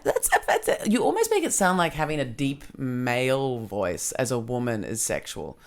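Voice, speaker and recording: A woman with a low, husky voice hosting a casual podcast chat, frank and quick to laugh. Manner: frank, animated, laughs easily. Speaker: podcast host, comedian. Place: comedy club.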